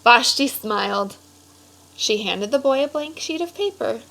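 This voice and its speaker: A woman with a fairly high voice reads aloud for children as a teacher. She explains things instructively and checks in with questions. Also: lively intonation, unhurried, vocal fry, a bit bright, slight background noise, poor audio.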